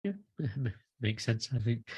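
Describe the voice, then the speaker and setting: A man's voice: mid-range voice, unhurried, a little mellow, husky, no room sound, studio quality. A man chairing an online work meeting in an informal, self-deprecating way. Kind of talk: casual meeting facilitation. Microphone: video call.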